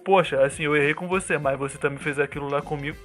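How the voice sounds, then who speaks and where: Fast, bright-voiced man explaining earnestly. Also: a little high, fairly even intonation, soft background music. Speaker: podcast co-host.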